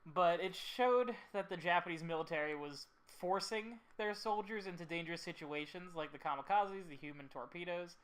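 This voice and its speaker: A man giving casual, slightly halting commentary as host of a video about an old film. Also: sits high, a bit bright.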